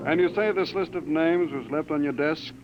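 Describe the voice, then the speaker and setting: A man's voice: fairly high pitch, normal speed, over music, lightly acted. An actor in a 1950s radio drama playing a curt male bureau chief who asks terse, skeptical questions. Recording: dull, faintly hissy old radio broadcast.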